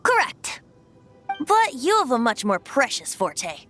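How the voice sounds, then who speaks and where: A woman's voice: on the high side, wildly swooping pitch, takes it slowly, fairly bright tone, soft background music, full character voice. A woman voicing a cheerful, youthful male bard in game cutscene dialogue, lilting and theatrical. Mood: playful.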